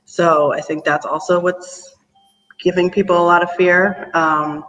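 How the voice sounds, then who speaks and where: A man's voice: sits high, flat delivery, unhurried, sharp tone, distant mic, low-quality recording. A man talking earnestly about breathing as a teacher on a panel discussion, rambling a bit and laughing often.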